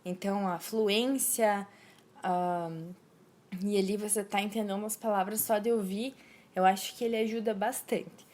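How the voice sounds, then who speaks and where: A woman's voice: medium pitch, steady tone, moderate pace, a little mellow. A young woman hosting a casual solo podcast, talking earnestly with frequent hesitations and restarts. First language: Portuguese. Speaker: podcast host.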